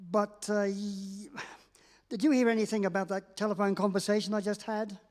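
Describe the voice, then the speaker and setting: A harried male city editor, acted live by a stage performer, hesitant and placating, stumbling through his sentences. Mid-pitched and ordinary in tone. The sound carries a long reverb from a large concert hall. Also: little pitch movement, normal speed, a little mellow, natural voice. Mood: flustered. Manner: hesitant, full of uh's, placating. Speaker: amateur radio play actor. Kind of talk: live staged radio play reading.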